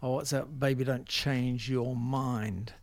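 An older man with a New Zealand accent, casually hosting a music show in a halting, rambling, unscripted way. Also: mid-range voice, steady tone, no echo. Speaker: radio music show host. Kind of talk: casual unscripted radio back-announcing. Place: dry radio studio.

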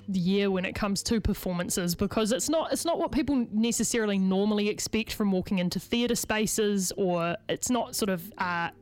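A woman with a New Zealand accent interviewing conversationally on radio, chatty and rephrasing her questions as she goes. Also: mid-range voice.

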